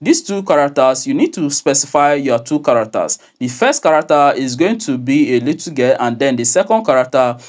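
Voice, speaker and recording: A man's voice: mid-range voice, sing-song. Man giving step-by-step instruction. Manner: instructive, deliberate. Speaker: tutorial host. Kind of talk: step-by-step software tutorial.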